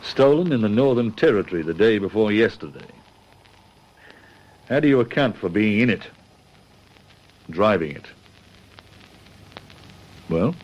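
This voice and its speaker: A wary Queensland cop with an Australian accent in a radio detective drama. He speaks slowly and deliberately in a lowish, rough voice, firm and clipped. The recording is slightly dull. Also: sing-song, a little mellow. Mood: stern, probing. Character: stern, wary Queensland police constable.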